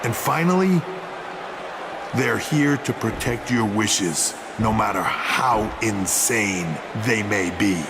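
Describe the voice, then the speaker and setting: A man playing a menacing wrestling authority boss, delivering a deliberate, smugly threatening promo in a video game. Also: fairly low pitch, noisy background. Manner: deliberate, menacing, drawn-out emphasis.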